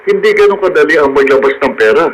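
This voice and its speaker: A man's voice: fairly high pitch, animated, fast talker, fairly bright tone. An actor playing a curt, scheming man in a radio drama, with a Filipino accent and a dismissive, taunting delivery. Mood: scornful, impatient. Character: curt, scheming man stalling his accomplice. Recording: noisy radio drama broadcast.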